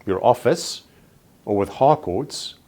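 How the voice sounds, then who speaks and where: A man's voice: on the low side, lively intonation, dark tone. Emphatic South African man coaching agents. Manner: emphatic, punchy paired contrasts, slows on key words. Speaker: real estate sales trainer. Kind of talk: motivational training talk.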